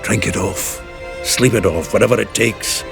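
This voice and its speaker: Gravelly, deep dwarf. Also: male voice, normal speed, over music. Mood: blunt urging. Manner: blunt, folksy, earthy. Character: gruff, hearty, blunt male dwarf warrior friend. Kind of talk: video game voice acting.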